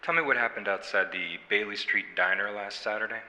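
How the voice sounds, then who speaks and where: A sardonic police detective with a deep voice and a sharp, forceful edge. He talks in a folksy, deliberate way with menace underneath, in a reverberant room with audible background noise. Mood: accusing, firm. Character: gruff small-town homicide detective, sardonic, needling, quietly menacing. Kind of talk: audio drama interrogation acting.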